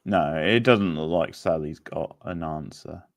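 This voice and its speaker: A man's voice: sits low, a little slow, creaky. A man hosting a quiz in a casual, laid-back, chatty manner. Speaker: quiz host. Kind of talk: casual live quiz chat.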